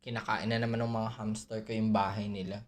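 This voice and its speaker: A Filipino woman doing casual, expressive live game commentary, slipping between languages in a playful way. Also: a bass voice, rapid-fire. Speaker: game streamer.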